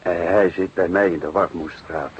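Low-voiced, measured police detective played by a man. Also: steady tone, muffled recording, close to an everyday voice. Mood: politely probing. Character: calm, courteous, shrewd older male police detective. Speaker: actor playing police detective. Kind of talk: Dutch radio drama acting. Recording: noisy radio drama recording.

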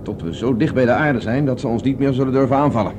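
A man plays an impatient, self-assured space pilot in a radio play. He talks very quickly at a middling pitch, explaining and giving orders briskly. The old recording is clearly noisy, with a hum like a craft. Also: natural voice. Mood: calm, reassuring. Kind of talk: Dutch radio drama acting.

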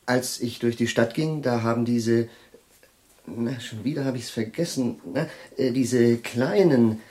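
Radio drama: male actor as a scatterbrained, courteous, jittery professor of medium pitch, who keeps searching for the next word. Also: warm tone, natural voice. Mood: nervous, courteous. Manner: halting, groping for words, formally polite. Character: absent-minded, jittery, courteous professor of chemistry. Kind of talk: German radio play acting.

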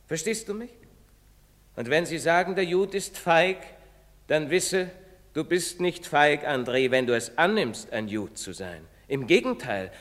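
An actor playing an earnest priest in a stage drama, pleading and moralizing in rhetorical style. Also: male voice, fairly high pitch. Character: earnest, preaching priest, later old and guilt-ridden. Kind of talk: theatrical stage drama acting. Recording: reverberant theatre stage recording.

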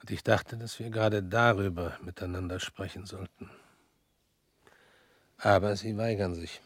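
A stern, whispering revolutionary. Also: male voice, sits low, fairly even intonation, no room sound. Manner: measured, pointed, often dropping to a hushed whisper. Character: stern male revolutionary, probing and cold. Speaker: revolutionary visitor. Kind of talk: radio drama acting.

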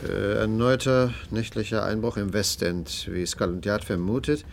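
An actor playing a skeptical, impatient police inspector in a German radio play, blunt and questioning. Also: male voice, fairly low pitch, normal speed, creaky, noisy background. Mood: reading aloud.

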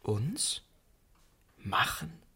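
An actor playing a jaded, brooding man of the Paris underworld in a radio play, halting with sudden rushes. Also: sharp tone, in a whisper, exaggerated voice. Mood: brooding, confessional. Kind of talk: intimate radio play acting. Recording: faint music behind the voice.

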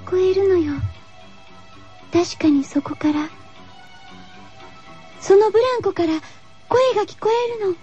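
A woman's voice: very high-pitched, animated, unhurried, long pauses, warm tone. A woman playing an earnest, fearful schoolgirl class monitor who senses eerie things, in a horror radio drama. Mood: frightened, entranced. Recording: dull lo-fi radio recording with music.

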